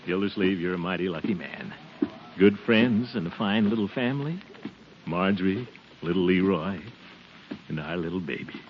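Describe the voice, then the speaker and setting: An actor playing a jolly, blustery middle-aged host in 1940s radio comedy, with hearty chuckles and theatrical exclamations. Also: male voice, deep voice, normal speed, slight background noise, natural voice. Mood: contented, blustery. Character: jolly, blustery middle-aged man hosting family Christmas. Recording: muffled vintage 1940s radio recording, organ music.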